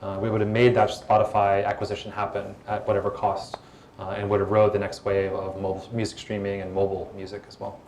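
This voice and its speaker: A man answering audience questions at a startup event in a calm, relaxed, conversational way, on a mic in a hall. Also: lowish voice, fast. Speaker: guest speaker, former social media executive. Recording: echoey event hall with faint room noise.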